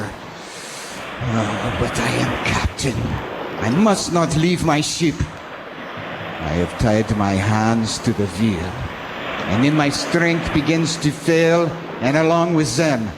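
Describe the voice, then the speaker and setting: An actor playing a weary, dutiful sea captain narrating his log gravely in a radio drama. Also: mid-range voice, expressive. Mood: despairing, solemn. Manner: grave, measured, halting delivery. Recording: noisy amateur local radio recording.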